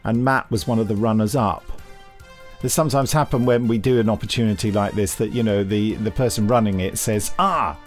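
A man's voice: lowish voice, steady tone, a little fast, faint music behind. A British man chatting casually as a podcast co-host, in a jovial, somewhat hesitant way. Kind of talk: casual news chat.

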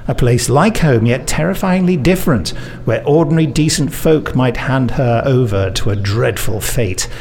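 A man's voice: mid-range voice, expressive, normal speed, a little mellow, slight background noise. A man hosting a podcast, mixing chatty, jokey banter with playfully theatrical scripted promo reading. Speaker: podcast host. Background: music bed.

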